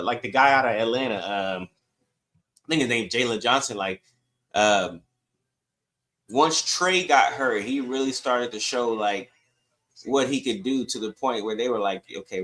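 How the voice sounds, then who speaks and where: A man's voice: medium pitch, sharp tone. A man co-hosting a sports podcast, giving his opinions in casual, conversational debate.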